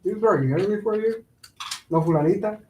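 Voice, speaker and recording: A man's voice: high voice, brisk, mellow, a little hiss or hum, natural voice. A man in a staged play reading playing a teasing neighborhood buddy who works in the building. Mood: teasing, friendly. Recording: echoey room, far from mic.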